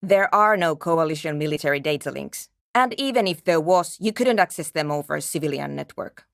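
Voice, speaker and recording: Game programmer: female, lowish pitch, brusque and world-weary, dry studio sound. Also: expressive, a little fast, creaky, dry recording. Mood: curt, dismissive. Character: curt, skeptical, world-weary woman programmer absorbed in research. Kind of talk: video game character dialogue.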